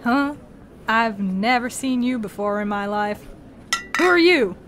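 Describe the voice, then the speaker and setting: A woman's voice: on the high side, expressive, unhurried, noisy background. A woman playing a genial, long-winded, folksy male cowboy posse leader in a western audio drama. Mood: friendly, folksy. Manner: rambling, folksy, theatrical storytelling.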